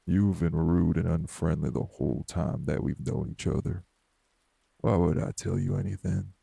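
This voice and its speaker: A man playing a morose older wraith in an audio drama, blunt, folksy and scolding, with a husky, gravelly voice. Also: fairly even intonation, moderate pace, no echo, full character voice. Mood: stern, reproving. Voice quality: very gravelly, husky, dark low voice. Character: morose older male wraith, rural homebody, stern but hospitable. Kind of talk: dramatic audio roleplay acting.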